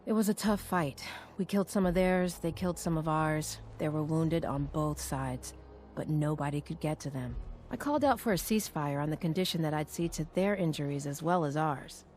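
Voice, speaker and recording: An actress playing a nervous, deferential doctor among raiders in a video game, speaking hesitantly. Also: female voice, low voice, a little mellow, faint music behind, close to an everyday voice. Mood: matter-of-fact. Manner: hesitant, halting. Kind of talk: video game character dialogue.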